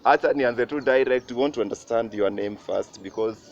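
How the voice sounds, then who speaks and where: A man's voice: medium pitch, brisk. A man with a Kenyan accent hosting a casual interview, chatty and switching between English and Swahili slang. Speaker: show host. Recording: muffled with clear background noise.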